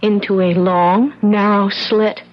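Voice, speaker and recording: A woman playing a frightened but sharp-witted young wife, anxious and dramatic, in an old-time radio drama. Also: mid-range voice, little pitch movement, slow, lightly acted. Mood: grim realization. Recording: dull vintage radio recording.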